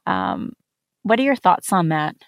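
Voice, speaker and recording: A woman's voice: fairly low pitch, huge pitch swings, measured pace, no room sound, studio quality. A woman podcast host from India, speaking in an earnest, reflective way in a conversational interview.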